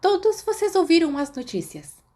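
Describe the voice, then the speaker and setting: A woman's voice: very high voice, big pitch swings, normal speed, warm tone, voice pushed up. A woman voicing a stern, weary older female professor with a thin, strained voice in an audiobook story. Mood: tense, formal.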